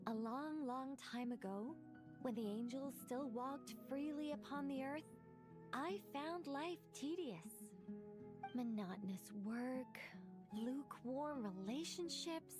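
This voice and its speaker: Game angel: wise female guide, lightly high voice, formal and measured, music underneath. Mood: wistful, reflective. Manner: articulate, formal, measured, philosophical. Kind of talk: scripted video game voice acting.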